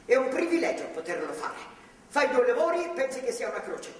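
A woman's voice: high-pitched, big pitch swings, brisk. An actress playing a devout, stern, grandmotherly older woman in a theatrical radio drama, with an earnest, moralizing manner. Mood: stern, indignant. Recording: distant, echoey hall, clearly noisy.

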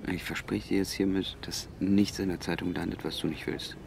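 A calm, persuasive journalist in a radio play. His low voice is heavily gravelled and very even, over clear background noise. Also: a little fast. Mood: reassuring. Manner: insistent, reassuring. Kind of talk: naturalistic radio drama dialogue.

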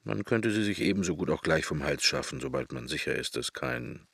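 An actor playing a wry, brooding middle-aged man murmuring a wandering inner monologue in a radio drama, gravelly and husky. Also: extremely low pitch, animated, brisk, no echo, heavily acted. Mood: anxious musing. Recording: roomless, very dry studio sound.

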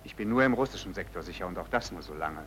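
A man's voice: deep voice, expressive, fast, a bit bright, slight background noise. A male actor playing a jovial, cynical racketeer in hiding in a German drama, breezy and dismissive in manner. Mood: dismissive, wary. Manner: breezy, dismissive, sighing and chuckling. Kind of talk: German radio drama or film-dub acting.

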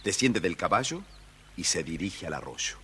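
A man's voice: deep voice, sing-song, moderate pace, sharp tone, a little hiss or hum. A man with an Argentine accent narrating a scripted children's story as the storyteller.

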